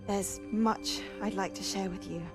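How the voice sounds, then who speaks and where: A woman's voice: mid-range voice, fairly even intonation, a little slow, close to an everyday voice. An actress playing a proud, determined young witch in a game cutscene, speaking formally. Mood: composed. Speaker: game character. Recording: clear music behind.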